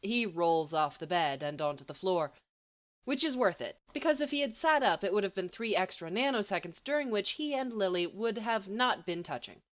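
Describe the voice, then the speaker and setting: A woman reading a story aloud as an expressive audiobook narrator. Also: mid-range voice, moderate pace. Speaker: volunteer audiobook reader.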